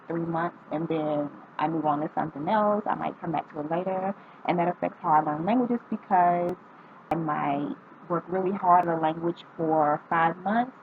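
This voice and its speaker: A woman's voice: low voice, phone-quality sound, slight background noise. A woman in her twenties from North Carolina, vlogging casually as she reads out a list of questions and answers each one with frequent ums. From North Carolina, United States. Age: 20s.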